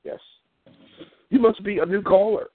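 A man's voice: high voice, normal speed, a little hiss or hum. A man hosting a live call-in chat line show, chatty and playfully announcing acts while scolding rowdy callers. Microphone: phone line. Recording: tinny phone line with loud music behind.

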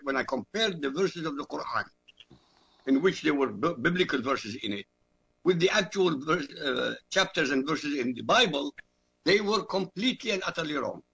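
Iraqi-born guy, arabic first language, raspy gravelly voice, kinda bright edge, hammers his point home. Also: a little high, dull audio. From Iraq. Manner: forceful, repeats phrases for emphasis. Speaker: guest commentator. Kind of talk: emphatic talk-radio argument.

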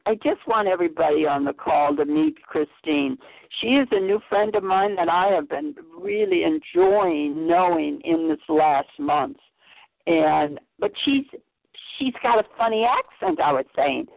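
A woman's voice: on the low side, gravelly, no room sound. A woman hosting a call-in radio chat, effusive and rambling, with many restarts. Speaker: host. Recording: tinny phone line, dry.

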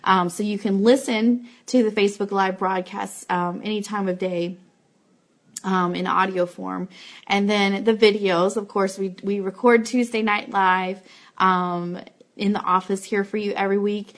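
A woman's voice: mid-range voice, flat delivery, unhurried. A woman hosting a fan live show, chatting informally to viewers as she makes announcements and thanks them. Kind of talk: casual livestream announcements to viewers. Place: office.

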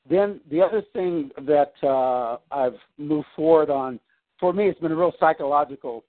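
A man on a phone call from home, talking about his work in a rambling way full of restarts and fillers. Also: a little high. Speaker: client on a coaching call. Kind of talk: casual phone conversation. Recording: tinny phone line.

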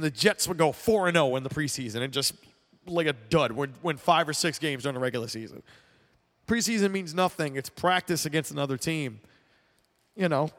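A man hosting a casual sports talk show, sounding congested, rambling and animated as he mocks sports fans. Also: a little high, in a large hall. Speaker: podcast host. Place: air-conditioned room at home. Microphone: headphones with mic.

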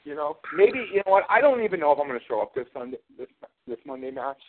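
A man's voice: on the high side, sing-song, fast. A man cutting a sarcastic, mocking wrestling promo, taunting his rival as champion. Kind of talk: trash-talking wrestling promo over a call. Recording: tinny phone line.

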